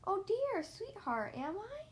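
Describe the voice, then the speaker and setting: A woman voicing a kindly grown-up babysitter in a storybook, talking in a bright, coaxing way. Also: high-pitched, big pitch swings, moderate pace, a little hiss or hum. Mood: sly.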